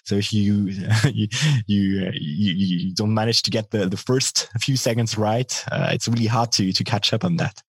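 A man with a bass voice giving thoughtful, measured answers in a casual interview. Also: steady tone, husky, no room sound. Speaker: musician being interviewed, guitarist and singer.

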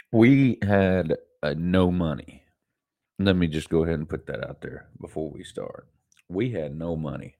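A man's voice: sits low, slow, gravelly, long pauses, a little mellow, no room sound, studio quality. A man hosting his own podcast, stuffed up with allergies, talking casually and rambling, often repeating himself. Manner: rambling, repeats himself, self-deprecating laughs. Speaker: podcast host. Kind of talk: casual solo podcast monologue.

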